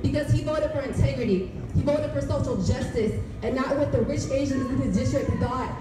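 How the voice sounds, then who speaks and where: A woman's voice: high-pitched, brisk, background noise. A woman with a Californian accent giving an earnest, impassioned rally speech in informal slang through a PA outdoors, recorded from out in the audience. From San Jose, California, United States. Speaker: community organizer and poet. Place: outdoors on the steps of a large civic building. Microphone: handheld mic through PA, recorded by distant audience camera. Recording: echoey outdoor PA heard from far off.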